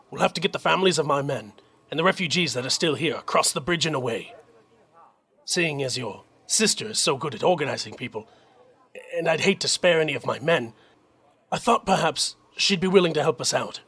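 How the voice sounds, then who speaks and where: A weary young captain, acted by a man, with a raspy, creaking voice pitched a little high. He speaks hesitantly and sincerely, like a dutiful officer in an audio drama. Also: fairly bright tone, full character voice. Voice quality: husky, creaky.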